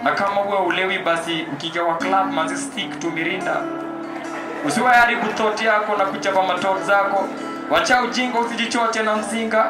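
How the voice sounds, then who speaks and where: A man's voice: high-pitched, fairly even intonation, fairly quick, roomy sound, over music, poor audio. A Kenyan man hosting a poetry night and reciting rhyming spoken word, switching between Swahili slang and English. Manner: rhythmic rhyming delivery, mixing Swahili and English. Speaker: poetry event host and spoken word poet. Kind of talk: live stage hosting and spoken word in Sheng. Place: large hall with live audience.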